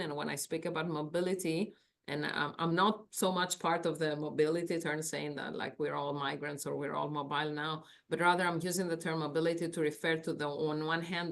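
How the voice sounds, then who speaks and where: A woman from Greece with a Greek accent, giving a conference talk from her office over a video call on her laptop, thoughtful and searching for words with fillers. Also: low-pitched. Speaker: academic panelist.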